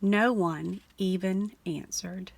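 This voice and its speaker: A woman reading a children's picture book aloud in an animated storytelling way, slowing down and sinking low on the repeated refrain. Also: vocal fry. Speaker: storybook reader.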